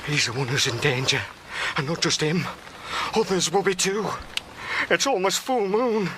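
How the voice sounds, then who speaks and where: A man's voice: on the high side, normal speed, natural voice. An actor playing a fearful village man, blunt and urgent as he gives a secret warning in an audio drama. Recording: clear background ambience noise.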